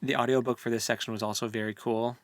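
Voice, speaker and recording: Man, mid-pitched voice, podcast co-host, casual discussion, informal and opinionated, very little reverb. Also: little pitch movement, fast talker. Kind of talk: casual book discussion chat.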